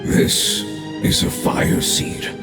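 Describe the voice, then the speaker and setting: A man voicing an ancient, dying guardian creature in a video game, solemn, devoted and weary, with a gravelly voice. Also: extremely slow, echoey room, over loud music. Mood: grave, earnest. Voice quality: deep, gravelly.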